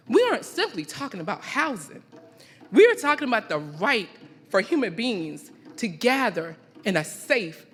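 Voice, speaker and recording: A woman speaks from a stage in a large hall, her words trailing long reverb. Her delivery is deliberate and emphatic, built like spoken-word poetry. She repeats phrases rhythmically, with a mid-range pitch. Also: fairly bright tone, breathy edge. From American South (the Carolinas). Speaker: keynote speaker at a conference. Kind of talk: rhythmic spoken-word poetic public speech.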